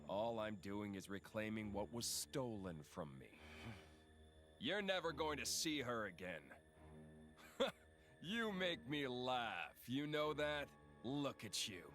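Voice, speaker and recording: A gloating male video game villain, taking his time over each mocking phrase. His delivery swings widely from low drawl to shouted threats, with faint music behind. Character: menacing sneering male villain, theatrical and gloating. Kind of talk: dramatic video game voice acting.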